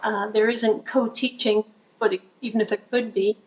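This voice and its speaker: A woman with a fairly high voice answers a question over a video call. She speaks hesitantly and rephrases as she goes. The sound is muffled and lo-fi. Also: little pitch movement, moderate pace. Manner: hesitant, searching for phrasing. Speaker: remote panelist, researcher. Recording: dull lo-fi video call audio.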